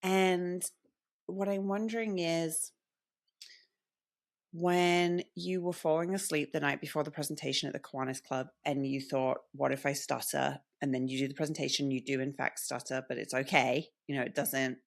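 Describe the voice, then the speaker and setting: Gravelly-voiced woman podcast host. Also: deep voice, little pitch movement, normal speed. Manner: attentive, with soft listening sounds. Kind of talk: podcast interview.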